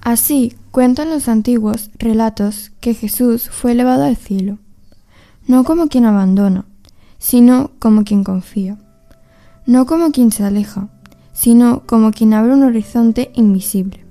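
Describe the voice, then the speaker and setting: A woman narrating a scripted radio play in a measured, reflective storytelling way, with music behind her. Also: on the high side, dark tone.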